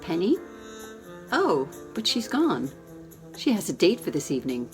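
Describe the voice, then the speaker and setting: A woman acts a sociable, candid gossip in a dramatized novel. Her voice sits low and moves animatedly as she confides with dramatic emphasis. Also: wildly swooping pitch, a little slow, soft background music. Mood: confiding, brisk.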